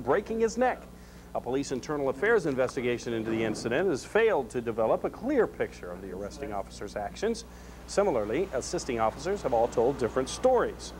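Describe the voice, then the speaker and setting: An American man anchoring a scripted local television newscast. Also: mid-range voice. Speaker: news anchor.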